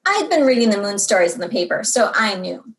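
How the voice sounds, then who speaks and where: A woman reading a storybook aloud to young children in an expressive way, drawing out words for dramatic emphasis. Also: mid-range voice, quick pace.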